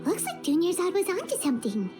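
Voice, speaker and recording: A super high squeaky lil fairy girl, hyper n blunt, voice all over the place, game mascot, loud music. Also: wildly swooping pitch, fast, exaggerated voice. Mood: curious, surprised. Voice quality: squeaky, childlike. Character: excitable, tiny, childlike female fairy companion, blunt and greedy. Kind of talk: video game voice acting.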